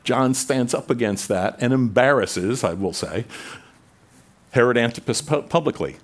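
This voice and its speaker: A man preaching a sermon in a conversational way, rising in pitch and volume for emphasis. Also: animated, normal speed. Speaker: pastor.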